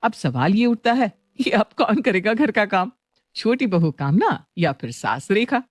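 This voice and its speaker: A woman's voice: mid-range voice, sing-song, measured pace, no room sound, clean studio sound. A woman working as narrator, reading a scripted story.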